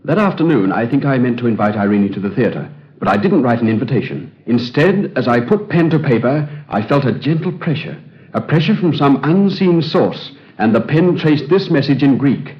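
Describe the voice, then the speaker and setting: Casting: male actor as haunted, earnest English scholar, formal and agonized, widely swinging pitch, dull vintage radio sound. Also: animated, moderate pace, close to an everyday voice. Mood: intense, uneasy. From England. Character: troubled, earnest English Egyptologist haunted by the past. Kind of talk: old-time radio drama acting. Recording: dull, band-limited old radio recording.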